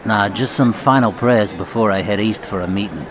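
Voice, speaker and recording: A man's voice: on the low side, normal speed, a little hiss or hum, lightly acted, rough recording. A man acting as a blunt, teasing mafia boss in a radio drama, with clipped delivery. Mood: brusque, teasing.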